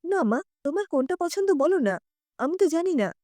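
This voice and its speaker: A woman's voice: high-pitched, big pitch swings, brisk, mellow, no echo. A woman voicing an earnest, outspoken young daughter-in-law with an insistent manner in an audio story. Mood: coaxing, insistent. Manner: insistent, questioning.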